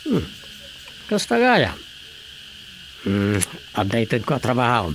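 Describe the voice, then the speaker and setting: A man's voice: medium pitch, huge pitch swings, slow pace, fairly bright tone, slight background noise, full character voice, rough recording. A man acting a scheming, bossy village officer-in-charge in an Ilocano radio comedy drama, with an Ilocano Filipino accent. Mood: secretive, commanding.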